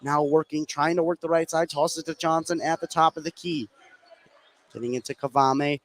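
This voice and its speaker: High-pitched American man calling live basketball. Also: fairly even intonation, moderate pace. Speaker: play-by-play radio sports commentator.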